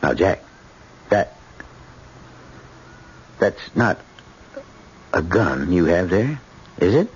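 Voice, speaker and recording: Low-voiced, measured middle-aged American judge. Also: male voice, big pitch swings, exaggerated voice. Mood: alarmed. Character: stern but conciliatory father, a judge. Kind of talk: old-time radio drama acting. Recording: dull old radio broadcast with hiss.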